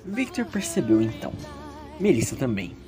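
A very low-voiced woman with a Brazilian accent narrates a story in a chatty, dramatic style. Her pitch moves around in a lively way, and music clearly plays behind her. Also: moderate pace. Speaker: narrator and character reader in an audio story.

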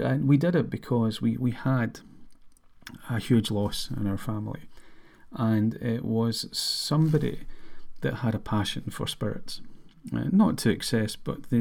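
A middle-aged man with a Scottish accent, hosting a podcast monologue in an earnest, measured way. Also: mid-range voice, creaky, darkish tone. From Scotland. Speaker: podcast host.

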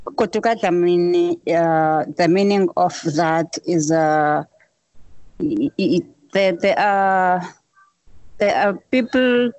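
Woman, musician guest; slow, simple, repetitive answers, close and dry. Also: fairly low pitch, a little mellow, dry recording, poor audio. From South Africa. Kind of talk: interview answers.

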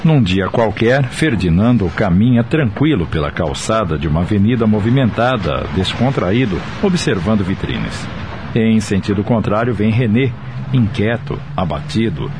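A man's voice: on the low side, expressive, normal speed, background noise. A man narrating a scripted radio drama and announcing it.

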